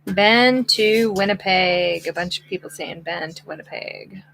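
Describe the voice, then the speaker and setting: A woman's voice: on the low side, unhurried, gravelly, a bit bright, slight background noise, rough recording. Chatty Canadian woman co-host. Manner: chatty, apologetic, giggly. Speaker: co-host reading chat comments. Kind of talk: casual live chat.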